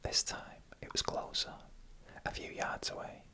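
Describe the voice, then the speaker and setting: A narrator reading a scripted story in a breathy ASMR whisper, in slow, deliberate phrases with short pauses. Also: bright, forceful tone.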